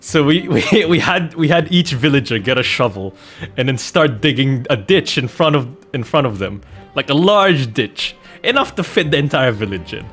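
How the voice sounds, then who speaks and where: Animated man telling stories over music. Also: medium pitch, moderate pace. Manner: animated, jokey storytelling with mock-innocent protests. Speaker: streamer playing a video game and host of the channel. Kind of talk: casual anecdotal chat while streaming.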